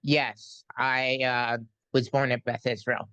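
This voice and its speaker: Slow, halting male interviewer. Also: mid-range voice, flat delivery, pushed and bright, clean tone, no echo. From New York City. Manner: slow, halting, frequent ums and pauses. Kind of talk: casual conversational interview.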